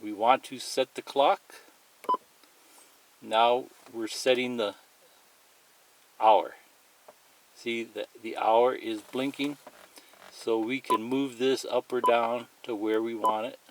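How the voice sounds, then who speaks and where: A man's voice: mid-range voice, steady tone, slow pace, pauses often. A man giving a casual, plain-spoken, step-by-step demonstration as a tutorial presenter. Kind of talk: casual hands-on product demonstration. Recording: clearly noisy amateur recording, clicks and beeps.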